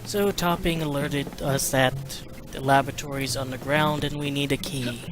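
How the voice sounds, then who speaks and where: A man's voice: medium pitch, steady tone, normal speed. A man giving casual, wry commentary as host of a gaming video. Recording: game music behind voice. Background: video game music and sounds.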